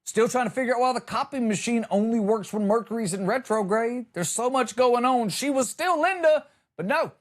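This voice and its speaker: A man's voice: extremely high pitch, fairly quick. A man delivering a comedic monologue as a podcast host, ranting in an exasperated, emphatic, sarcastic way.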